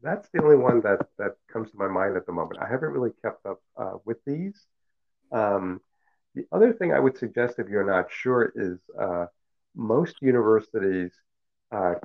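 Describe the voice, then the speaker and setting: A middle-aged professor whose first language is Cantonese speaks in a low, gravelly voice. He answers slowly and thoughtfully, with many fillers and restarts. The sound is narrow and tinny, like a phone line. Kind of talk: interview answers.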